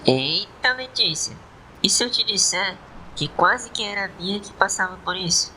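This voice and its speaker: A woman's voice: mid-range voice, lively intonation, moderate pace, pushed and bright, a little hiss or hum, poor audio. A woman playing an earnest, concerned schoolboy in a radio soap, speaking colloquially with a Northeastern Brazilian accent.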